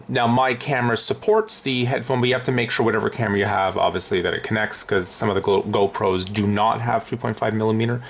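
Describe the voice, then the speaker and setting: A man's voice: mid-range voice, a little hiss or hum. A man talking casually and unscripted through a hands-on how-to as an aviation vlogger.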